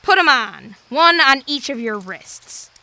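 Bossy villainess, high-pitched, piercingly bright, curt, mock-polite, audio drama. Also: female voice, expressive, slow pace, full character voice. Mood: mocking menace. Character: ruthless, commanding villainess holding people at gunpoint.

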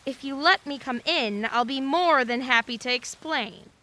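Actress as a high-voiced mysterious woman in rain. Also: moderate pace, sharp tone, slight background noise. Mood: polite, insistent. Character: polite, mysterious woman stranger at the door in the rain. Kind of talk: audio drama acting.

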